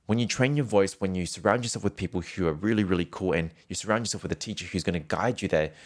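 Deep-voiced man coaching singers. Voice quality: rich, low voice. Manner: earnest, repetitive, keeps adding "right?". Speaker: singing teacher. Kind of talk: casual coaching talk to camera.

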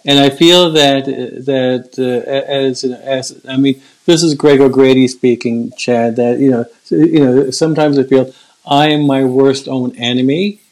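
A man's voice: medium pitch, fairly even intonation, unhurried, a little mellow, poor audio. An older man who stutters, with repeated words and restarts, speaking in an earnest, reflective way in a casual conversation. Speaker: guest.

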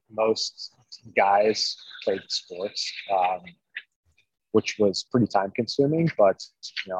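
This is a male private chef from central Iowa, a guest on a podcast, telling stories in an easygoing, meandering way with lots of ums. His voice is low and husky. The audio sounds dull and lo-fi. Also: no echo. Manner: easygoing storyteller, many ums and likes. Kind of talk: casual interview chat.

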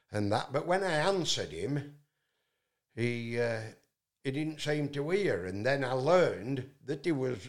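A man from England, telling a long, rambling story as a guest and often restarting with fillers. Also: mid-range voice. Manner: loud voice, many fillers and restarts.

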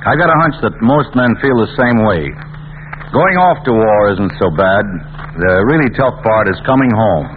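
Actor as a dry, steady middle-aged sergeant in an old radio play, lively delivery, tinny broadcast sound. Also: male voice, mid-range voice, moderate pace, over music, lightly acted. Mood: reflective. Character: middle-aged army sergeant coming home, wry and steady. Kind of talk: 1940s radio acting with narration.

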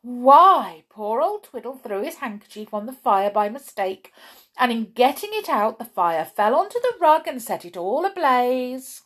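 A woman's voice: moderate pace, few pauses, heavily acted. A woman reading a storybook aloud and voicing a chatty old village gossip, with breathless, gushing lines. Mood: excited gossip. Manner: breathless, gushing, high and excited.

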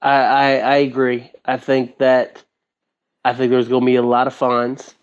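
A man's voice: medium pitch, completely flat delivery, unhurried, clear, resonant tone, muffled recording. A man hosting a casual sports discussion show. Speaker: sports talk show host.

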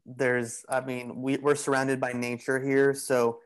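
A man talking as a podcast guest in a casual, relaxed, rambling way, full of "you know" and "like". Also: mid-range voice, unhurried, clean tone. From Greek heritage. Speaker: podcast guest, bodywork practitioner. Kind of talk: casual conversational interview.

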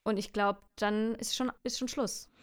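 A woman's voice: medium pitch, steady tone, measured pace. A young woman from Franconia co-hosting a casual chat, thoughtful and a little hesitant. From Franconia, Germany. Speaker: podcast co-host.

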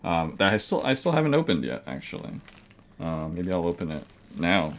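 Deep-voiced easygoing man. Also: very animated, moderate pace. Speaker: podcast guest. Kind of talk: casual chat.

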